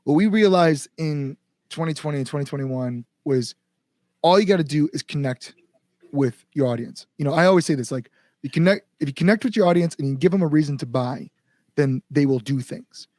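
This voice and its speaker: A man's voice: fairly high pitch, brisk, dark tone, no room sound. An American man speaking as an interviewed band front-man, casual and earnest, with informal phrasing. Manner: earnest, informal with mild swearing.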